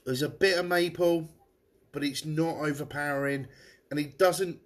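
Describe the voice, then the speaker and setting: Chatty pub landlord, stuffy nose. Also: male voice, on the high side, fairly even intonation, moderate pace. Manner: chatty, rambling, frequent restarts. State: blocked nose. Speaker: pub landlord reviewing beer on a live stream. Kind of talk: casual live-stream beer tasting. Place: room above his pub.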